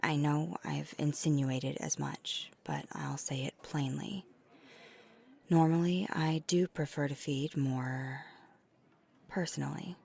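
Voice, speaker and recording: A woman voicing a flirty, caring vampire in an intimate ASMR roleplay, soft-spoken and breathy, sometimes near a whisper, with halting hesitations. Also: deep voice, steady tone, exaggerated voice. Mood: confessional, sheepish. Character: flirty, caring female vampire on a dinner date.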